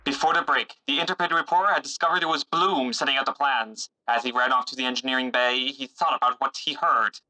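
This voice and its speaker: A man's voice: mid-range voice, fairly even intonation, quick pace, few pauses, bright, forceful tone, poor audio. A man narrating a sci-fi radio serial in a scripted style, with music behind him.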